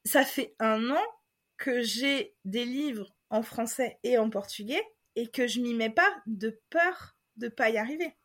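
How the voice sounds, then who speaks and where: A woman's voice: on the high side, measured pace, a bit bright. A young woman from France sharing a personal story as a podcast guest, talking in a hesitant way with many fillers and restarts. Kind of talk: casual personal testimony.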